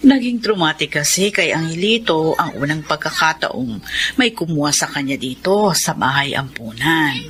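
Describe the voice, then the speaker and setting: A woman playing a grave, concerned orphanage nun in a radio drama, solemn and deliberate. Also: sits low, expressive, over music.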